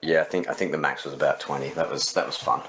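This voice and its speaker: A man with an exceptionally deep voice chats casually in an interview. He speaks as a game developer and writer, in an informal conversational way. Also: fairly even intonation, a little fast, a bit bright, low-quality recording.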